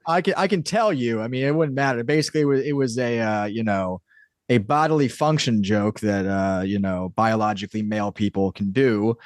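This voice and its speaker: A man with a fairly low voice talks casually as an interview guest. He explains at length, rambling and cutting into his own sentences. The sound is very dry, with no room around it. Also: brisk, no room sound. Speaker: podcast guest, audio drama creator and writer.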